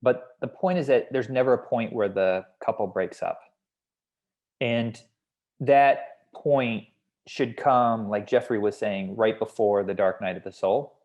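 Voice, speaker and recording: Low-voiced man giving script feedback. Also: measured pace, a little mellow, lo-fi sound. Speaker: script consultant giving notes. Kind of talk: feedback discussion at a table read.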